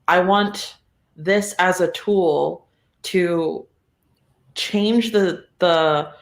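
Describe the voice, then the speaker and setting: A podcast guest with a mid-pitched voice, talking casually and reflectively in a meandering, thoughtful way with a readiness to chuckle. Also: fairly even intonation, extremely slow. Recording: roomy, echoey sound.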